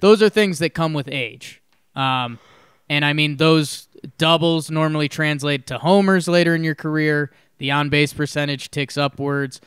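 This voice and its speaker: A young man with a fairly high, crisp voice rambles excitedly through casual sports talk, stopping, restarting and laughing as he goes. He sits at a boom mic in a room at home, and the sound is close and dry. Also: moderate pace, no room sound. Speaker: podcast co-host. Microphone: studio mic on boom arm.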